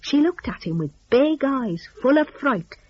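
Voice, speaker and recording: A woman's voice: high voice, sing-song, a little slow, slightly rough, lo-fi sound. A woman telling a story dramatically, in a folksy, conversational rustic idiom.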